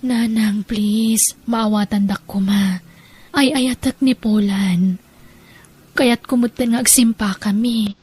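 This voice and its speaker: A woman playing a gentle, devoted wife and loving stepmother in an audio drama, with a soft, tender delivery. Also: a little high, fairly even intonation, slow, breathy edge, no room sound, full character voice. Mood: pleading.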